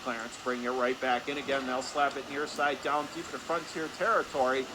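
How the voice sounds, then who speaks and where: A man's voice: medium pitch, a little fast. A man calling live hockey play-by-play for radio in clipped, urgent phrases, following the action as it moves. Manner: urgent, clipped running description, voice rising with the action. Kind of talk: live radio sports commentary. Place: hockey arena. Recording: clear steady background noise.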